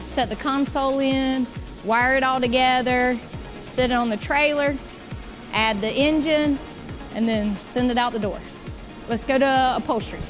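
A woman in her forties giving a brisk, explanatory walking tour of a factory workshop. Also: sits high. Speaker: boat company guide. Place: large factory workshop indoors.

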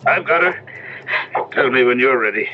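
Radio drama part: steady, grave ranch foreman played by a man, medium pitch, dull vintage recording. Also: moderate pace, close to an everyday voice. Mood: steady, helpful. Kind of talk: old-time radio drama acting. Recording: dull, noisy old radio broadcast recording.

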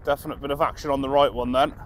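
A man's voice: medium pitch, level tone, very fast, a little hiss or hum. A middle-aged British man in casual running commentary as he fishes from a dock at night, chatty and self-amused, recorded by a camera some distance away. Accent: British English. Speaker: angler vlogger. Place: dock by the sea at night, outdoors. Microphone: camera on tripod, at a distance.

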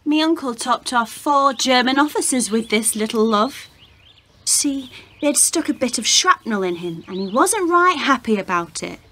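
A woman's voice: a little high, animated, normal speed, pushed and bright. A woman playing a bold, sardonic 1920s working-class maid in a radio play, dry and defiant. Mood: dry, menacing.

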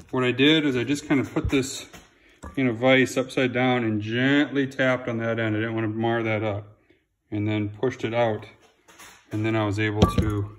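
A man in his home garage, casually explaining a repair step by step while filming close over his workbench. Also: medium pitch. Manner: relaxed, plain-spoken, with ums and restarts. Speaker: home mechanic. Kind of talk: casual how-to narration.

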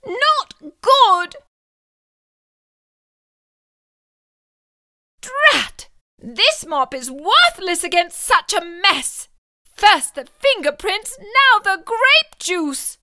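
A dramatic, fussy young male frog who frets over every mess, as a woman puts him on. The voice sits very high and swings widely, with a bright, sharp edge and slow, drawn-out delivery. It is recorded dry and close, with no room sound. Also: very animated, no echo, exaggerated voice. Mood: aghast. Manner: dramatic, fretful, exclaiming. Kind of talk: storybook read-aloud acting.

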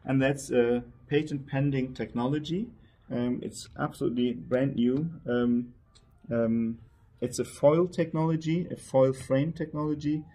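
A man with a husky, relaxed voice speaks as a company representative. He explains technical details in a measured way, as in a product interview at a trade show. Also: mid-range voice. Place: indoor trade show demo room.

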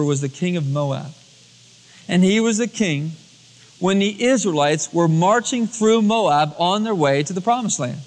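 A man preaching a storytelling sermon as a pastor, acting out dialogue in everyday colloquial words. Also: high voice. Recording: long hall reverb, cassette tape transfer.

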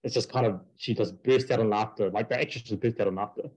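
Brief: husky male researcher, casual conference Q&A on a headset. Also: on the low side, a little mellow, highs cut off. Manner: casual, many fillers and quick yeps. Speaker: researcher presenting a talk. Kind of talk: conference talk and Q&A. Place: indoors, small room. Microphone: headset on video call.

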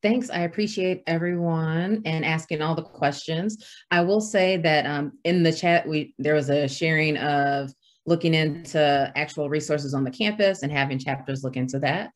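A woman presenter moderating an online roundtable, speaking in a measured, appreciative way over a video call. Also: low-pitched. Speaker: webinar presenter.

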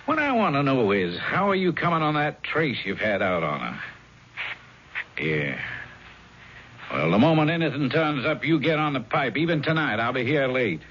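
Gruff parole officer, very animated. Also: male voice, medium pitch, moderate pace. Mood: wry, confidential. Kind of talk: 1970s radio drama acting. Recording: muffled 1970s radio broadcast, faint noise.

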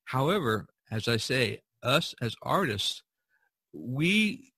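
A man's voice: mid-range voice, very animated, takes it slowly, pushed and bright, husky, dry recording. A middle-aged man hosting a podcast, giving conversational commentary and repeating his points for emphasis. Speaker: podcast host.

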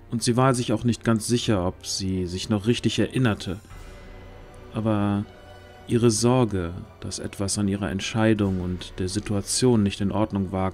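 A man's voice: on the low side, darkish tone. A man narrating a scripted fantasy audio drama as its storyteller.

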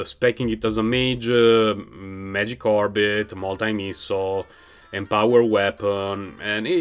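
A man with a non-native English accent chatting casually while playing a game on his couch, rambling and laughing often, into a mic in front of him. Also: mid-range voice. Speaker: gaming channel host. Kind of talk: casual gameplay commentary. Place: home, on a couch. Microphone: microphone raised in front of him.

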